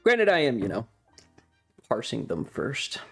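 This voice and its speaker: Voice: mid-range voice, sing-song, unhurried, creaky, breathy edge. Casual, rambling streamer over music. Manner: informal, rambling, thinking aloud. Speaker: streamer and ASMR video creator hosting a livestream. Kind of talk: casual livestream chat.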